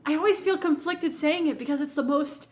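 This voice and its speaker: A woman's voice: high voice, brisk, darkish tone. A woman co-hosting a podcast in casual chat, informal and teasing, with loose, sweary phrasing. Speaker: podcast co-host. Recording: roomy, echoing voice recording.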